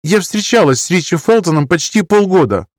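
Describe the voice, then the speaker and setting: A man's voice: sits high, animated, brisk, no echo, clean studio sound, voice pushed up. A man voicing an anxious, defensive woman of nearly thirty in an audiobook, pleading and justifying herself. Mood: bitter. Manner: pleading, self-justifying, wordy. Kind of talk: audiobook character dialogue.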